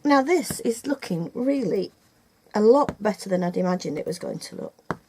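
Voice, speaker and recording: A woman's voice: medium pitch, lively intonation, moderate pace, warm tone, slight background noise. An older woman teaching felt-making from her home, talking casually with many ums and restarts. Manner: chatty, hesitant, with many ums and self-corrections. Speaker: felting teacher. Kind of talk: casual video tutorial. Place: home room, upstairs.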